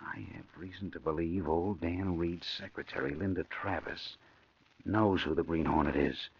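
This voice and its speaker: Sly male convict, gravelly, confident, husky, 1940s radio drama, dull old recording. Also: sits low, moderate pace. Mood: sly, confiding.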